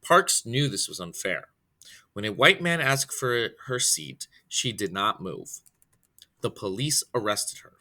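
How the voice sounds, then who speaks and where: A man with a very bright, forceful voice reads a children's biography aloud. His pitch sits in an ordinary middle range but moves in a lively way. He takes the text deliberately, one phrase at a time. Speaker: reader, storyteller.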